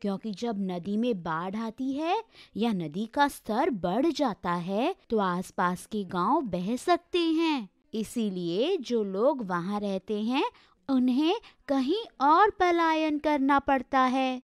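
A woman's voice: a little high, lively intonation, takes it slowly, no room sound, studio quality. A woman giving formal, textbook-like scripted explanations. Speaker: narrator.

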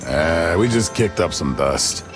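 A man playing a big, jovial, rough gunslinger with a gravelly voice in a video game. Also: low voice, animated, unhurried, music in the background. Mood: jovial, eager.

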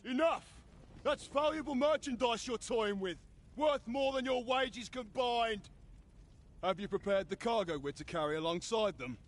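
An actor playing a stern cargo overseer in a video game, scolding and commanding in formal speech. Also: male voice, extremely high pitch, lively intonation, normal speed. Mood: stern, annoyed.

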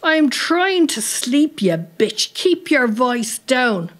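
A woman's voice: medium pitch, slow, a bit bright. A woman voicing a bad-tempered, snarling Irish night watchman in a story, with an Irish accent. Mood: irritated. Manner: snarling, abusive.

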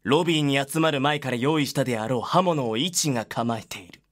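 A male narrator reads a scripted drama in a medium-pitched voice. His delivery is dramatic storytelling. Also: brisk, a bit bright.

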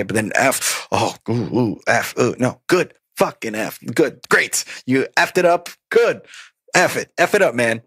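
A man in his thirties, originally from Colombia, tells stories as a guest on a podcast. He is animated, laughs often and slips into the voices of the people he quotes. His voice sits at an ordinary pitch and sounds dry and close. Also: no echo. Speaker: podcast guest, stand-up comedian. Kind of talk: casual interview storytelling.

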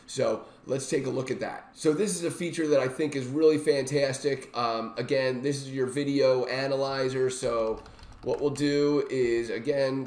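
A middle-aged man with a voice worn by illness gives an informal, enthusiastic software walkthrough as he shares his screen. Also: medium pitch. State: sick, recovering from a lost voice. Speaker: software reviewer and demonstrator. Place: small indoor home room. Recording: roomy, echoey home-room sound.

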